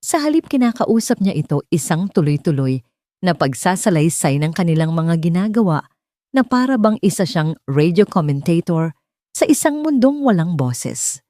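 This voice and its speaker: A woman's voice: on the low side, big pitch swings, moderate pace, dark tone, dry recording, clean studio sound. A woman with a Filipino accent reading a scripted story as a dramatic audiobook narration.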